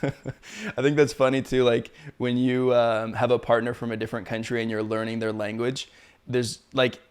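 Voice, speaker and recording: A man from Canada hosting a casual interview, relaxed and chatty. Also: medium pitch, normal speed, clear, resonant tone. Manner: relaxed, chatty, laughs often. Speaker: podcast host.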